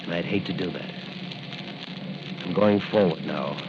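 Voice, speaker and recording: Low-voiced, cocky radio hero. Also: male voice, measured pace. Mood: firm, confident. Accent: American. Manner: firm, cocky, commanding. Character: confident tough masked crime-fighting hero. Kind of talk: 1940s radio acting. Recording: muffled noisy 1940 radio recording.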